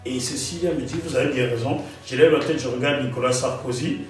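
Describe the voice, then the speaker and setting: A man, a guest author recounting his path in an animated way, often repeating phrases for emphasis. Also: medium pitch, quick pace. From Cameroon. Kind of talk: storytelling interview. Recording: echoey room, distant mic, faint music.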